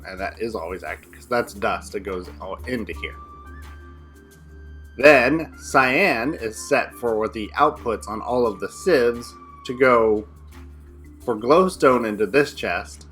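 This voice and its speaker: A young man with a low, bright and forceful voice talks rapidly through his build, narrating each step as he plays. Faint music sits quietly under his casual commentary. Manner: rapid thinking aloud while building. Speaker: co-host playing a modded game.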